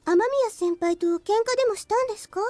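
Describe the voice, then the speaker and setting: A woman's voice: very high-pitched, rapid-fire, darkish tone, no room sound. A woman voicing a cute, polite, teasing teenage schoolgirl in a Japanese visual novel. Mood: prim, chiding.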